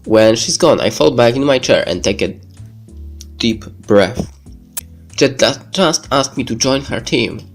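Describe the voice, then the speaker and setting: Music plays behind a man of average pitch who reads a visual novel aloud as host of a let's play. He sounds under the weather and reads haltingly, stumbling over words now and then. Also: lively intonation. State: sick. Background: background game music.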